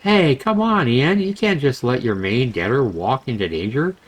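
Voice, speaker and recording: A man's voice: medium pitch, animated, moderate pace, pitched above the performer's own voice, close to an everyday voice. A man voicing a brash, money-hungry young adventurer from a visual novel, with slangy, cajoling banter. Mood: pleading. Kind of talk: visual novel character reading.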